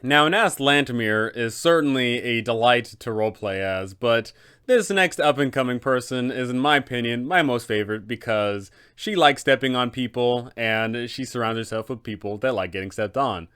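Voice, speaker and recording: A man's voice: medium pitch, normal speed, a bit bright, smooth. A man narrating tabletop roleplaying advice in an explanatory style, as a game master.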